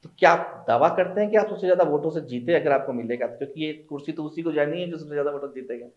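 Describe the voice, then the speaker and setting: A man's voice: on the high side, fast, reverberant, dull audio. A man asking probing, conversational questions as the interviewer.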